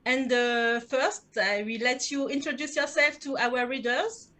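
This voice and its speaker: A woman's voice: high-pitched, fairly even intonation, a little slow, sharp tone. A woman with a French accent hosting a video-call author panel, giggling often as she asks questions. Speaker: host.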